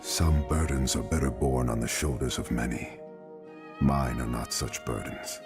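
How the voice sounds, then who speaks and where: An actor voicing a brooding, stubborn mariner in a fantasy video game, terse and grave with a deep, husky, gravelly voice. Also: male voice, measured pace, over music. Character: brooding, gruff male mariner, burdened and stubborn. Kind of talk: dramatic video game voice acting.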